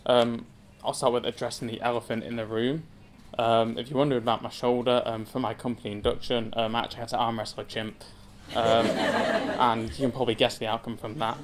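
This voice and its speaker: A man's voice: mid-range voice, little pitch movement, a little fast, a little hiss or hum. A young man in his twenties from England, telling his story to an audience from a lectern, casual and self-deprecating with many filler ums. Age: 20s. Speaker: zoo community engagement assistant giving a talk. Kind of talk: personal story talk to an audience. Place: indoor wood-panelled function room with seated audience. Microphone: speaking at a lectern.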